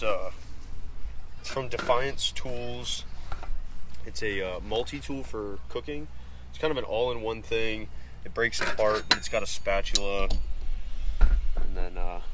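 A young man hosts a casual outdoor vlog. His voice sits fairly low, and he speaks conversationally to a camera held close by. Clear open-air background noise runs behind him. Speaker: vlog host. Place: outdoors in an open grassy campground. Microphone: action camera at arm's length or nearby.

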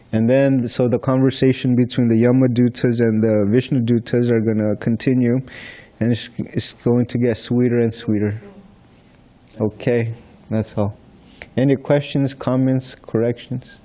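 A young man from the Philippines leads an informal class in a large temple hall, speaking into a stand microphone. He talks in a chatty, storytelling way with a mellow, relaxed tone. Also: mid-range voice. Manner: chatty, storytelling with light laughs. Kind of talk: informal scripture class talk. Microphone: stand mic in front of seated speaker.

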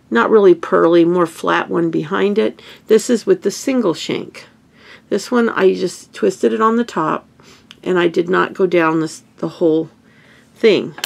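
Woman, sniffly and gravelly, casual home craft host, chatty and self-correcting. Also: fairly low pitch. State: sniffly, stuffy nose. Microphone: camera over hands on table.

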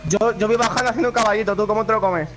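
A man's voice: high-pitched, fairly even intonation, rapid-fire, a bit bright, slight background noise, rough recording. A young man with a Spain Spanish accent chatting in an informal, slangy way as a gaming partner. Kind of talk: casual chat while gaming.

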